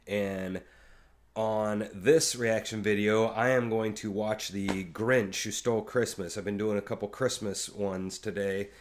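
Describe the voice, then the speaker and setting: A man with a fairly low voice talks casually about music, rambling and circling back with plenty of "uh" and "um." He sounds like a friendly reaction-video host thinking aloud. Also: steady tone, measured pace. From Kansas City area. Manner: rambling, many fillers and restarts.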